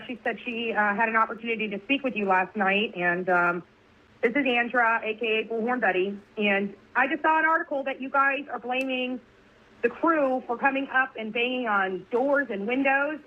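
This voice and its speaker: A woman's voice: a little high, normal speed. Insistent woman on a tinny phone line. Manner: insistent, accusatory questioning. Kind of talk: confrontational phone message.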